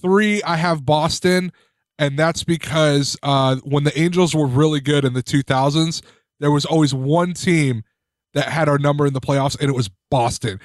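A man's voice: fairly high pitch, moderate pace, dry recording. A young man in a casual sports chat, bluntly listing teams he hates.

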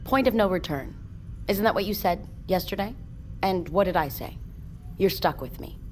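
An actress playing a blunt, outspoken ship's crew member in an audio drama. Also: female voice, on the low side, animated, moderate pace, slight background noise. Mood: angry, challenging. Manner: blunt, confrontational. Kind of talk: naturalistic audio drama acting.

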